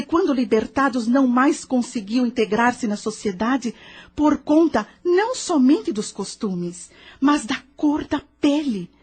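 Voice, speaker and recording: Woman acting wise spiritual counselor, Brazilian accent, slightly high husky voice, measured, gently probing, audio drama. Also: heavily acted. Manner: measured, didactic, questioning. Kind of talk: dramatized audio novel dialogue.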